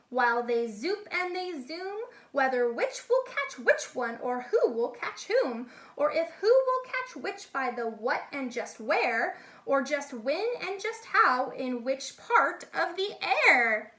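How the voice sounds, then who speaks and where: A woman reading a picture book aloud expressively in an animated, deliberate way, with explanatory asides for young listeners. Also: high voice. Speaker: children's read-aloud storyteller, teacher-like.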